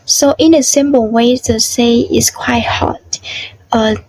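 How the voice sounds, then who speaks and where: Slow halting woman with a Vietnamese accent. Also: a little high, steady tone, darkish tone, no room sound, low-quality recording. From southern Vietnam. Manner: halting, many fillers and restarts; earnest, simple second-language English. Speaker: interviewee. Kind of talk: answering interview questions.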